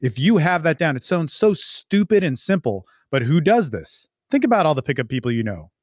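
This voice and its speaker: A man's voice: on the high side, sing-song, fairly quick, dry recording, lo-fi sound. A man hosting a podcast as a coach, giving casual, unscripted advice in a blunt, profane, emphatic and rambling way. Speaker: podcast host and dating coach.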